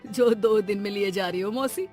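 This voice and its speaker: A woman's voice: medium pitch, normal speed, darkish tone, faint music behind, natural voice. A woman voicing a teasing, chatty, sceptical neighbour in a cartoon moral story. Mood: teasing, curious.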